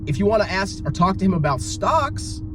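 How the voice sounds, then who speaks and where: A man with a fairly high voice that swings widely in pitch delivers a blunt opinion piece as an online finance commentator. He is combative and emphatic, often asking questions and answering them himself. Clear background noise, like a car's rumble, runs under his voice. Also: big pitch swings, normal speed. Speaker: personal finance YouTuber. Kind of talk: opinion monologue to viewers.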